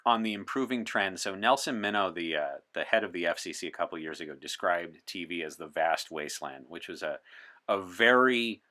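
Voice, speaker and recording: A man's voice: sits low, animated, fairly quick, bright, forceful tone. A man chatting as an interview guest, articulate and opinionated, with a wry streak. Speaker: podcast guest. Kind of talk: in-character interview chat as a 1960s time traveler.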